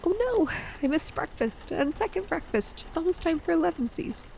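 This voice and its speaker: A woman playing an affectionate, playful wife and mother in an intimate audio roleplay, speaking in a gentle, teasing way. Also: very high-pitched, big pitch swings, normal speed, creaky, a little hiss or hum, heavily acted, rough recording.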